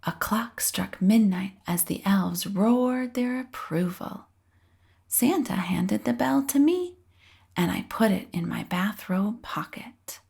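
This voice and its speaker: A woman reading a children's story aloud with expressive, drawn-out phrasing and a breathy edge at the ends of phrases. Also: medium pitch. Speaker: storybook reader.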